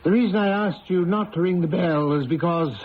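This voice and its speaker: An actor playing a polite, cautious village house agent, speaking in a courteous, hesitant, foreboding way in an old-time radio drama. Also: male voice, high-pitched, brisk, breathy edge. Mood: uneasy, ominous. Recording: dull, noisy old radio recording.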